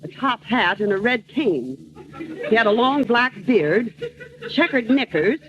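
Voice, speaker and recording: An actress playing a haughty, scheming, glamorous gold-digging wife in a theatrical radio comedy style. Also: female voice, on the high side, expressive, measured pace, crowd noise. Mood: coy. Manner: theatrical, coolly melodramatic. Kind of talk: old-time radio comedy acting. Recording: noisy muffled old radio, loud studio audience.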